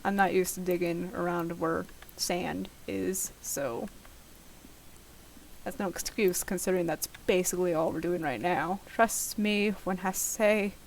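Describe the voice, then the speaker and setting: A woman's voice: fairly low pitch, fairly even intonation, a little slow, gravelly, a little hiss or hum, rough recording. A young woman giving casual, rambling, self-deprecating commentary while playing a game, recorded at home. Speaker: gaming video host. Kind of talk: casual Let's Play commentary of a survival game. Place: at home, late at night.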